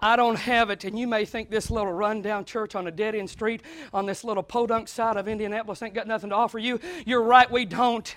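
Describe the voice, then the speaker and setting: A man preaching a sermon in a small church, dropping to quiet talk and then rising into shouted preaching. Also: steady tone, a little fast, hardly any pauses. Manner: swings from low conversational talk to shouted preaching. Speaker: pastor.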